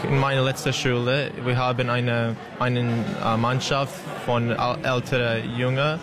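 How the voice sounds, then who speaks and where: A young man from Serbia with a foreign accent, a non-native German speaker giving halting, casual interview answers as a student. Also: mid-range voice, level tone, a crowd in the background. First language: not German. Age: young adult. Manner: halting, searching for words. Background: background music.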